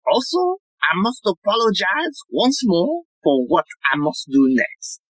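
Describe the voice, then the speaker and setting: A woman's voice: mid-range voice, huge pitch swings, measured pace, husky, dry recording, full character voice, low-quality recording. A woman playing an eccentric, self-assured male police inspector in a comic audio play, speaking in a theatrical, earnest way. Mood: earnest, solemn. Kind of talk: audio play comedy acting.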